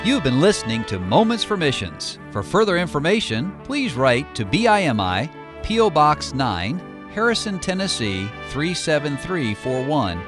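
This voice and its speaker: A man reads the formal scripted opening and closing of a religious radio broadcast. His pitch moves in a lively, animated way. Clear instrumental music plays beneath his voice. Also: moderate pace. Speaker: announcer, president of a mission board. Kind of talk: scripted program intro and outro.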